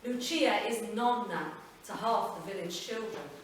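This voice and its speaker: A woman reading a literary short story aloud at a live event, measured and unhurried. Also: mid-range voice, a little hiss or hum. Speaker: reader. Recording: echoey room, voice from far off.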